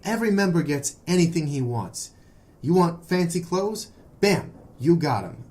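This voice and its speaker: An actor playing an earnest, nervous young man, hesitant and excitable, in a noir audio drama. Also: on the high side, normal speed, close to an everyday voice. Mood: earnest, agitated. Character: earnest, nervous young male defector from secret brotherhood.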